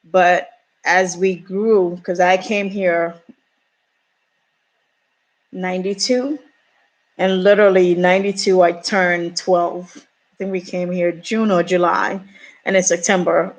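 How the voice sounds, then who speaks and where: Slow-talking woman in her forties. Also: lowish voice, fairly even intonation. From Ghana. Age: 40s. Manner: slow, hesitant, many pauses and fillers. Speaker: YouTuber. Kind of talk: casual storytelling vlog.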